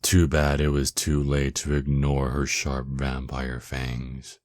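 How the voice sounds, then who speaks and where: A man performs an ancient vampire lord in an ASMR roleplay, his voice extremely deep and recorded close and dry. He takes his time over each phrase, condescending and teasing. The temper is dominant, smug and seductively menacing. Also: completely flat delivery, slow, no room sound. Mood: brooding, smug.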